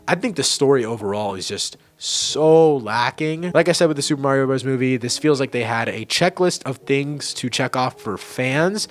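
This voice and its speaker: A young man giving casual, opinionated movie-ranking commentary, enthusiastic and blunt, with frequent restarts and casual swearing. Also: medium pitch. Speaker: movie reviewer.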